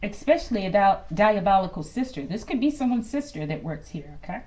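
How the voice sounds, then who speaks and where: A woman giving a casual tarot reading to her viewers, musing aloud and often ending phrases low as she addresses them. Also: sing-song, a little fast, a little mellow. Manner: musing, repeatedly addresses listeners as collective, trails off low at line ends. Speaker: tarot reader.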